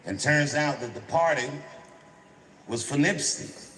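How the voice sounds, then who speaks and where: A man's voice: fairly high pitch, expressive, unhurried, bright, forceful tone. Man telling a stand-up story. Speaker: stand-up comedian. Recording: echoey stage recording with background noise.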